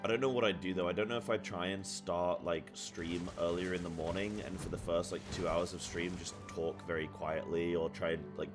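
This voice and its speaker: Deep-voiced man rambling on a stream. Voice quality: deep, carrying voice. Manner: rambling, thinks aloud and reads chat aloud; loud by habit. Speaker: live streamer. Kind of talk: casual live-stream chat. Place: apartment room at home.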